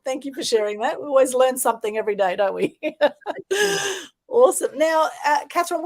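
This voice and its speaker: A woman hosting an interview over a video call, full of enthusiastic praise. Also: a little high. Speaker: show host.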